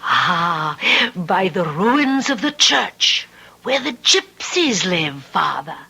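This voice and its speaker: An actress playing a sinister, commanding peasant woman in a radio drama, speaking in a hushed, deliberate, dramatic manner. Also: lowish voice, very animated, creaky, over loud music, exaggerated voice. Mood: sly, deferential. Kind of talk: old-time radio drama acting. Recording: noisy old radio drama recording.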